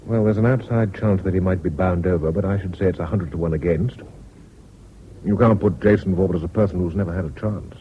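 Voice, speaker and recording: Low-voiced, dry British lawyer played by an actor. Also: male voice, close to an everyday voice. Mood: frank, unsentimental. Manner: dry, measured, educated phrasing. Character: worldly, frank male defence lawyer, unsentimental. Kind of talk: radio drama acting. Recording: dull, noisy old radio recording.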